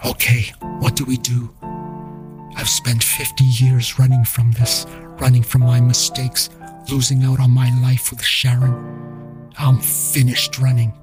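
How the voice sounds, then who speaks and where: A man's voice: mid-range voice, monotone, measured pace, over music, lightly acted. A man playing a weary, regretful old musician who speaks earnestly and solemnly in an audio story. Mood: resolute.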